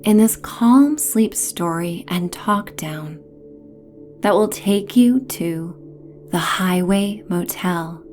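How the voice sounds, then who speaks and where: A woman narrating a guided sleep story in a soothing, gentle, hypnotic manner, with phrases trailing off into breathy near-whispers. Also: on the low side, expressive, takes it slowly, slight background noise. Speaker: narrator and host of sleep stories.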